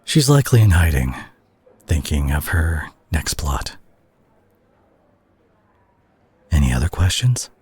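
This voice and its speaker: Weary male superhero played by a male voice actor for intimate audio drama: husky, low, expressive, concerned. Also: exaggerated voice. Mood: gentle, reassuring. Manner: hushed, halting, sighing, turning exasperated. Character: weary, worried male superhero at a teammate's hospital bedside. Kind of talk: intimate audio roleplay addressed to the listener.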